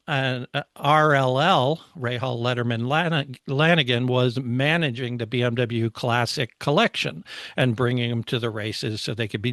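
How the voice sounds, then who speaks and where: A middle-aged man tells stories as a podcast host in a casual, enthusiastic tone. His voice sits at a medium pitch, and the sound is dry with very little room in it. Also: a bit bright, no room sound.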